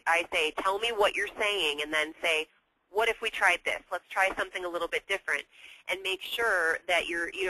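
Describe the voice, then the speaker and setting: A woman's voice: fairly low pitch, bright, forceful tone, low-quality recording. An American woman co-presenting sales advice conversationally, in a wordy way, often circling back to rephrase.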